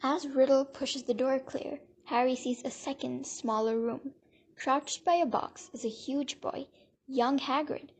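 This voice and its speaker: A woman's voice: high-pitched, steady tone, measured pace. A woman narrating an audio drama from a script in a dramatic storytelling style.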